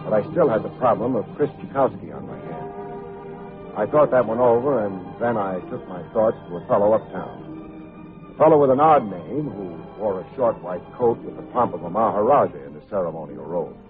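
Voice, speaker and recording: An actor playing a wry, self-assured hard-boiled private detective in a vintage radio drama, full of sardonic slang. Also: male voice, fairly low pitch, lively intonation, moderate pace, music in the background, lightly acted. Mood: dry narration. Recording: muffled, tinny vintage radio recording.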